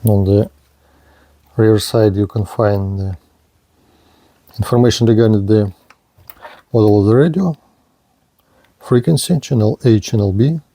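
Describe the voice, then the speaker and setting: A man with a dark, creaky voice walks through a product hands-on in short, halting phrases. His English phrasing is non-native and his delivery is very unhurried. There is faint noise behind him. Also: on the low side. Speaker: radio reviewer.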